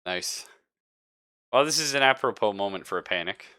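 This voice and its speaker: A man running a tabletop horror roleplaying game, narrating scenes off the cuff in a casual, halting way. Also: on the low side, expressive, bright, forceful tone, clean tone. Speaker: game master.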